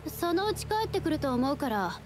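A woman's voice: high-pitched, big pitch swings, fairly quick. An actress playing a brusque, guarded female outlaw in an anime game, speaking in a curt, casual way. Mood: softening, casual. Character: brusque, guarded female bandit exile who softens. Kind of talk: Japanese anime game voice acting. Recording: music behind the voice.

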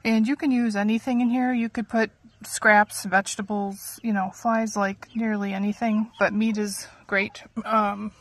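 A middle-aged woman giving a chatty, informal how-to on a phone outdoors, explaining as she goes with some hesitation. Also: medium pitch. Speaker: chicken keeper presenting a how-to. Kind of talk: casual chatty how-to vlog. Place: outdoors in a farmyard chicken run. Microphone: handheld phone at arm's length. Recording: outdoor phone audio with background noise. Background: chickens clucking, outdoor noise.

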